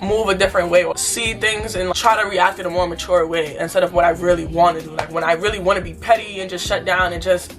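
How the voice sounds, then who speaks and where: Fast-talking young New woman. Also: mid-range voice, a bit bright. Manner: chatty, candid, lots of "like". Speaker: vlogger. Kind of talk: casual Q&A monologue. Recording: music playing clearly behind.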